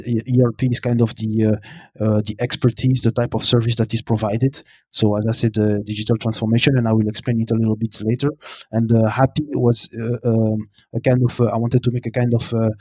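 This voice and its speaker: A man from Brussels, answering interview questions in a halting, word-searching way. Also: medium pitch, dark tone, slightly rough, no room sound. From Brussels, Belgium. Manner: hesitant, halting, searching for words. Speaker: podcast guest, consultant.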